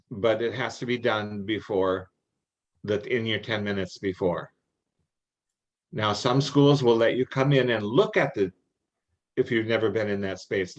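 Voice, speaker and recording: An older man answering questions in an informal meeting, explaining rules in a halting, anecdotal way. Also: lowish voice. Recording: dull, lo-fi online call audio.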